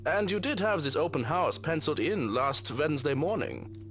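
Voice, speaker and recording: An actor playing a formal, precise manservant who is deferential yet dryly candid, in a visual novel game. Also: male voice, fairly high pitch, very animated, moderate pace, fairly bright tone, soft background music. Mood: polite, firm. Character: formal, dryly candid male servant of rich couple.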